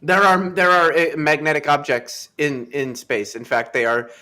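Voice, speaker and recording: A man's voice: a little high, fairly even intonation, moderate pace, bright, forceful tone. A man in casual chat, joking in a crude, sardonic way.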